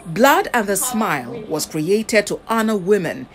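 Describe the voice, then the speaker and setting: A woman news reporter with a Nigerian accent narrates a scripted feature. Her intonation moves up and down in a lively way, at a medium pitch. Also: unhurried, soft background music.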